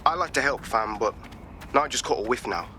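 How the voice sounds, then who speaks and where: A man's voice: mid-range voice, brisk, bright, forceful tone, slight background noise, lightly acted. A man playing a cocky, streetwise young hacker with a London accent and slangy, informal talk in a video game. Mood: wary, cocky.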